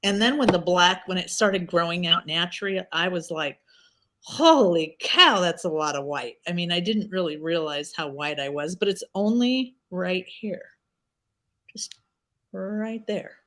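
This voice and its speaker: A woman's voice: fairly low pitch, unhurried. A woman in her fifties telling stories in a casual livestream chat, laughing often. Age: 50s. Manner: animated storyteller, laughs often.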